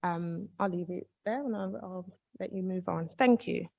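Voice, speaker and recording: A woman academic talking unscripted on a panel in a halting, reflective way. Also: lowish voice, vocal fry, darkish tone, no room sound, lo-fi sound.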